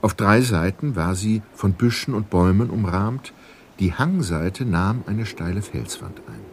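Low-voiced mystery storyteller. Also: male voice, lively intonation, measured pace, a little hiss or hum. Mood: calm suspense. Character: calm storyteller narrating a detective mystery. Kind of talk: scripted audio drama narration. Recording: music clearly behind the voice.

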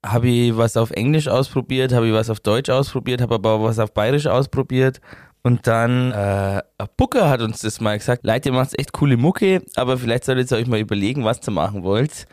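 A young man with a Bavarian accent, the songwriter and guitarist of a band, answering interview questions in a relaxed, rambling way full of fillers. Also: mid-range voice, a little fast, no echo, clean studio sound.

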